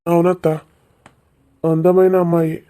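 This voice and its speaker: A woman's voice: lowish voice, little pitch movement, unhurried, warm tone, close to an everyday voice. A woman voicing a mild, earnest young village man in a cartoon comedy, speaking plainly. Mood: defensive.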